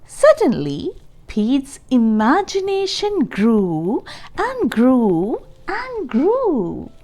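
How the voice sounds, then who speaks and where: A woman reading a picture book aloud for young children in an expressive, deliberate way, stressing key words and adding explanatory asides and questions. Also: high-pitched. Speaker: children's storybook reader.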